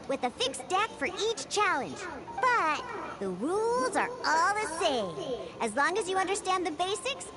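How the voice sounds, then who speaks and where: Give this anime game bartender a very high, swooping young voice, perky and cheeky, easily flustered, under loud music. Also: female voice. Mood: brisk, upbeat. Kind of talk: anime video game dialogue acting. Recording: game music playing loudly behind.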